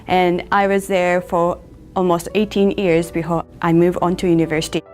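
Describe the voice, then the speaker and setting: A woman from Japan in her thirties, an English teacher, narrating a class presentation in a measured, explanatory way. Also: on the low side, flat delivery, over music.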